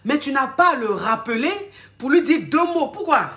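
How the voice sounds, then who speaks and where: A woman relationship coach with a cold, talking in an animated, repetitive way and calling her listener darling. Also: high voice, moderate pace. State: has a cold. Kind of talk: casual advice vlog talk.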